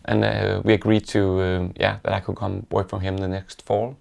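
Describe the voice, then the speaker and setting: A man with a Danish accent, a native Danish speaker, casually and reflectively telling stories in an interview with halting pauses. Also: sits low, level tone, vocal fry, darkish tone. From Denmark.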